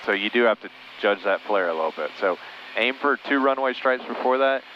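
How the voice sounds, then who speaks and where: A man's voice: medium pitch, lively intonation, fairly quick. A man working as a flight instructor, coaching casually over an aircraft headset intercom. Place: small twin-engine plane cockpit in flight. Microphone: aviation headset. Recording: muffled aircraft headset intercom with engine noise.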